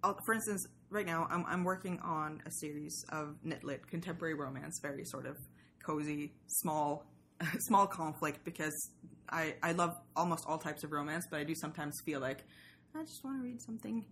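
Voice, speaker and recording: Woman, slightly low voice, casual podcast guest, rambling asides, light noise behind. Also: moderate pace, fairly bright tone. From Sweden. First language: Swedish. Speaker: podcaster and writer, interview guest. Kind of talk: casual interview chat.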